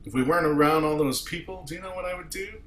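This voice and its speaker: A man's voice: a little high, fast talker, natural voice. Man playing a proud starship captain, echoey room. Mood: wry. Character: male starship captain, formal, proud and sardonic. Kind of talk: amateur table read of TV script. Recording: echoey room with faint noise.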